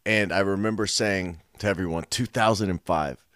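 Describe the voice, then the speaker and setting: Hoarse, raspy thirties man, deep voice, dry close sound, calm and knowledgeable co-host. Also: normal speed, dry recording. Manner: knowledgeable, explanatory, low-key. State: hoarse today. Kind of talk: casual chat.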